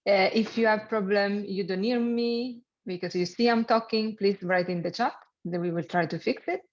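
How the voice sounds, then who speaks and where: A woman with an Italian accent, a native Italian speaker, hosting an online webinar in halting speech with many fillers and light jokes. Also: medium pitch, steady tone. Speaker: webinar host and program manager. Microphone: video call. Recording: lo-fi video call audio.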